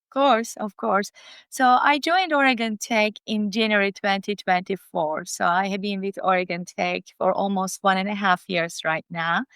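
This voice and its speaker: A woman's voice: mid-range voice, steady tone, normal speed, a bit bright, clean tone, dry recording. A woman in her fifties or older with a Turkish accent, answering interview questions as a college dean. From Turkey. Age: 50s or older.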